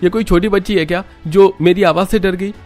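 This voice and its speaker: A man voicing a short-tempered young husband who scolds his new wife in a loud, harsh voice. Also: high-pitched, fairly even intonation, a little fast, soft background music, voice pushed up, lightly acted. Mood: angry shouting. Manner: shouting, scolding.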